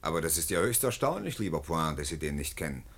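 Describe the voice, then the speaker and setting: An actor in a 1950s German radio drama playing an urbane, condescending prime minister addressing a subordinate. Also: male voice, rumbling low, big pitch swings, fast talker, breathy edge, full character voice. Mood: astonished, condescending.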